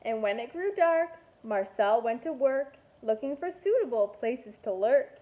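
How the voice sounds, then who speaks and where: A woman reading a picture book aloud to young children like a kindergarten teacher, expressive and deliberate, with playful asides. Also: a little high, low-quality recording.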